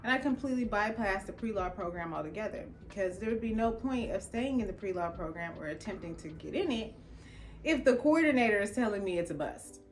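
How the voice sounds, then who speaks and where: A young woman from Los Angeles, a lawyer who grew up speaking Jamaican Patois at home, recounts her own path in a loose, lively, conversational way. Her voice sits in an ordinary middle pitch. She slips into the voices of the people she quotes as she tells the story. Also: brisk, slight background noise. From Los Angeles, California. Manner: expressive, casual, acts out quoted dialogue. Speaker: attorney. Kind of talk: personal storytelling.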